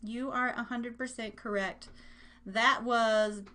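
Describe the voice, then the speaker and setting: A woman's voice: a little high, steady tone, takes it slowly, bright, forceful tone. A woman hosting a live online radio show, chatting informally and slangily and speaking straight to her listeners. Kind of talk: casual, interactive live-stream chat and game hosting.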